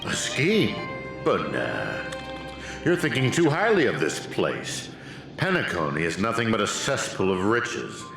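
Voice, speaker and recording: A pompous game villain, lecturing like a smug scientist. His theatrical delivery swings widely in pitch, in a roomy space with music playing behind. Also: huge pitch swings, measured pace, creaky, full character voice. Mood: condescending. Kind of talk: theatrical video game voice acting.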